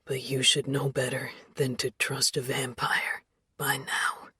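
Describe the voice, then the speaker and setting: A woman voicing a young male vampire lover in a low, breathy whisper. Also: level tone, measured pace, sharp tone, no room sound, studio quality, exaggerated voice. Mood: menacing.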